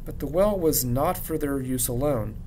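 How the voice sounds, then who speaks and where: A man reading a children's novel aloud like a teacher narrating to students, with low rumble behind him. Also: normal speed, warm tone. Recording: clear background rumble noise.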